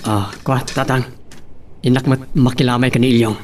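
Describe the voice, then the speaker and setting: A man's voice: mid-range voice, steady tone, normal speed, lightly acted. Earnest young man played by an actor, noisy recording. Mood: determined. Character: earnest young man, resentful and determined, respectful to elders. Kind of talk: radio drama acting. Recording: noisy, with clicks.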